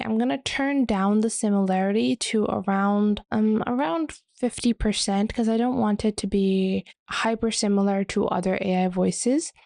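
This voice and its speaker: A woman presenting a software tutorial, explaining it step by step. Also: mid-range voice, gravelly, dry recording, clean studio sound.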